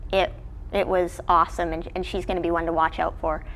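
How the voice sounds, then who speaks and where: A woman's voice: lowish voice, flat delivery, a little fast. A woman who coaches college sport, answering interview questions in a casual, conversational way. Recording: clearly noisy background ambience.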